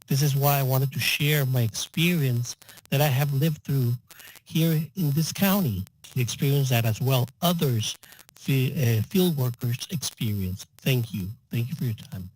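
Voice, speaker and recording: A man interpreting public comment at a meeting, delivering it in halting, piecemeal phrases. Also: medium pitch, darkish tone, a little hiss or hum, rough recording.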